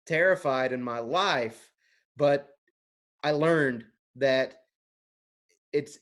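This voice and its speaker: A man who works as an audio technician, speaking conversationally on a training panel and drawing on his own experience. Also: mid-range voice. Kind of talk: conversational panel talk with anecdotes.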